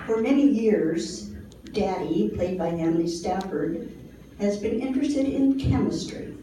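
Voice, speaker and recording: A woman's voice: mid-range voice, animated, measured pace. A woman announcer reading a scripted introduction for a radio comedy show. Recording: very echoey, distant, faintly noisy room.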